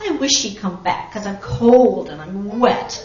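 A woman voicing a huge, gentle male elephant from a storybook, patient and earnest, speaking in emphatic rhyme. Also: medium pitch, unhurried, reverberant, far from the mic, dull audio, close to an everyday voice. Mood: miserable grumbling. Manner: emphatic, rhyming declarations.

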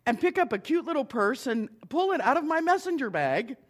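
A middle-aged minister talks through a lecture in a relaxed, conversational way, slipping in dry, wry asides. The voice sits fairly high for a man. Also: brisk. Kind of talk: lecture to an audience.

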